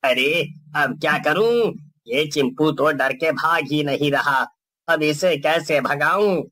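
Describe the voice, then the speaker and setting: A man's voice: on the high side, slow pace, pushed and bright, exaggerated voice. A man playing a scheming village prankster who poses as a ghost, theatrical and blustering, in a cartoon story. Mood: flustered.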